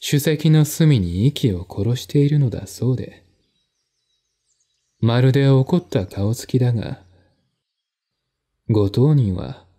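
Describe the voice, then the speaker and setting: Slow, mellow male narrator. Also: medium pitch, breathy edge. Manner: measured, deliberate, formal. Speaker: narrator, solo audiobook reader. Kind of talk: dramatic literary narration.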